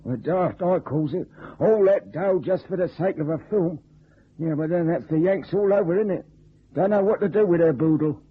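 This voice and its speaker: A man's voice: on the high side, normal speed, slightly rough. An actor playing a blunt, suspicious old night watchman with a working-class English accent in a radio detective drama. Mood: chatty, scornful. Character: gruff, plain-spoken old night watchman. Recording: tinny, narrow old radio recording.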